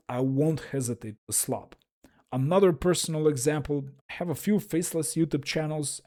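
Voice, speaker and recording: A man's voice: mid-range voice, dark tone. A man with a Russian accent giving a frank, wry, self-deprecating solo talk as a YouTube creator.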